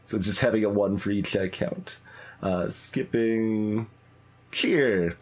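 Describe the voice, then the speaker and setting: Halting, musing game streamer. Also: mid-range voice, darkish tone. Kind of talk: casual live playthrough commentary.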